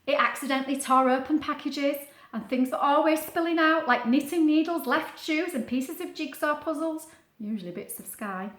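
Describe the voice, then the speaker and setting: A woman's voice: sits high, moderate pace, reverberant. A woman reading a children's storybook aloud, expressively, with chatty asides and questions to the listener. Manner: expressive, explains words and asks the listener questions. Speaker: storybook reader.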